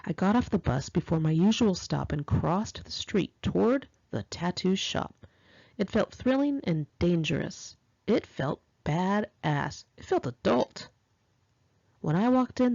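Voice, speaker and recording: Animated, lower-voiced American woman narrating. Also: a little slow, gravelly, dry recording, dull audio. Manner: expressive, confiding, girlish slang. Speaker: author reading own memoir. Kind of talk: first-person audiobook narration, conversational and dramatic.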